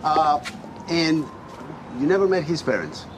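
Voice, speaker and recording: An actor playing a polite police detective in a screen drama, asking probing questions in a tentative way. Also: male voice, on the high side, sing-song, measured pace. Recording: clear ambient background noise.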